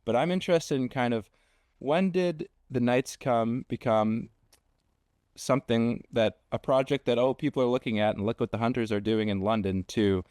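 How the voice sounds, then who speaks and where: A man's voice: medium pitch, moderate pace, darkish tone, clean tone, no room sound, clean studio sound. A young man hosting a conversational interview, feeling his way through questions with repeated words and restarts. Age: young adult. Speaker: podcast host, interviewer.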